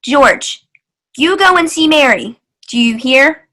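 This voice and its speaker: A woman's voice: high-pitched, animated, slow pace, sharp tone, exaggerated voice. A woman playing a no-nonsense small-town mother in old-time radio style, coaxing and bossy. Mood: coaxing, firm.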